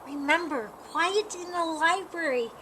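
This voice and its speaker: A woman's voice: very high voice, a little slow, slight background noise, heavily acted. A woman voicing a small, cheerful male mouse from a storybook, eager, polite and reassuring. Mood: gentle reminding. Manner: reassuring, polite, gently hushing.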